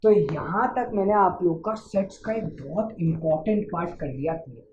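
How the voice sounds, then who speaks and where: A woman's voice: lowish voice, expressive, moderate pace, a little mellow, slight background noise. A woman teaching maths in mixed Hindi and English, patiently repeating check-ins and drawing out the English terms. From India. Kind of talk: Hindi-English classroom explanation. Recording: echoey room, slightly muffled.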